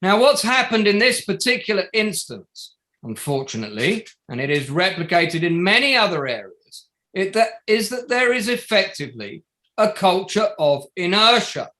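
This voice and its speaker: A man acting as an indignant male barrister in a stage drama, addressing an inquiry. His voice sits high for a man and swings widely in pitch. He speaks formally and deliberately, breaking his submissions into emphatic short phrases. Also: very animated, pushed and bright, exaggerated voice. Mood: measured, formal. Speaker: actor in an online table read. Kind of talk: formal courtroom submission, play reading.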